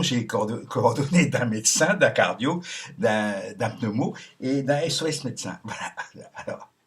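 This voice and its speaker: A man in a casual monologue, reading messages aloud and adding sardonic remarks, with frequent chuckles, ums and restarts. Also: medium pitch. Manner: hesitant, many ums and restarts. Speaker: video commentator.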